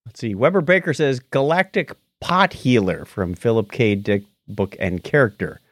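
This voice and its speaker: A man's voice: mid-range voice, moderate pace, dry recording. A man co-hosting a podcast, bantering casually and reading out listener feedback. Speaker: podcast co-host.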